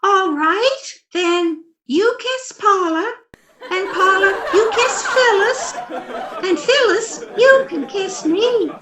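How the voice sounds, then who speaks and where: A woman's voice: very high-pitched, lively intonation, slow, fairly bright tone, full character voice. A woman playing a sweetly naive, scatterbrained wife in a 1940s-style radio comedy, with a girlish voice. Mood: breezy, sweet. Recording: roomy, echoing recording.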